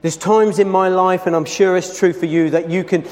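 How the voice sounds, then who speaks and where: A man preaching a sermon in a church, with a loud voice and an earnest, emphatic delivery that slows down over scripture. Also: sits high, fairly even intonation. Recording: long hall reverb.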